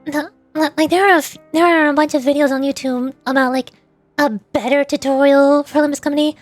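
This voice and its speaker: A woman's voice: sits high, steady tone, fairly quick, no echo. A woman chatting casually on a livestream as a VTuber game streamer, in a giggly, rambling way. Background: faint music.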